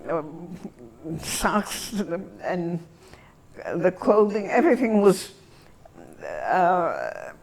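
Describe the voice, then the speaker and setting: An elderly woman from Antwerp whose first language is German, reminiscing in an interview and searching for her words with long pauses. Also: on the low side, wildly swooping pitch, a little mellow, rough recording. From Antwerp, Belgium.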